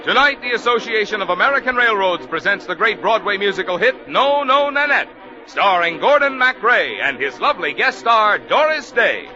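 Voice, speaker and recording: Man, 1940s radio announcer, booming emphatic, high lively voice, music underneath, lo-fi. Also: moderate pace. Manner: booming, emphatic sponsor read.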